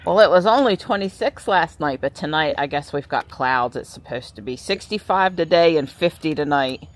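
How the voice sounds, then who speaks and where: A woman's voice: deep voice, lively intonation, normal speed, rough recording. A middle-aged woman with a stuffy nose chatting casually through her day as a vlogger, in her car and out in the yard. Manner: chatty, talks to herself and pets. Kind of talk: casual vlog narration.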